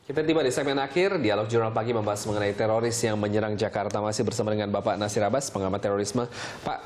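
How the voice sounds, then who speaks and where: A man's voice: on the low side, quick pace, a little mellow. Male TV interviewer, noisy background. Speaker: TV news talk show host. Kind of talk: live interview presenting.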